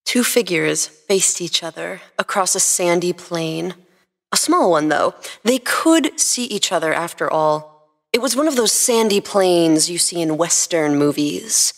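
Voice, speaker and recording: A woman's voice: lowish voice, lively intonation, measured pace, gravelly. A woman co-hosting a podcast and reading fan fiction aloud in a scripted storytelling style. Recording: plucked guitar music behind.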